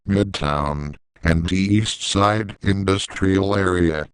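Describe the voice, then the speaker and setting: A low-voiced man delivers a scripted emergency alert. His tone is clean and resonant, with a formal, detached, measured manner, recorded close and dry. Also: clean tone, no room sound, poor audio. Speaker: emergency broadcast announcer.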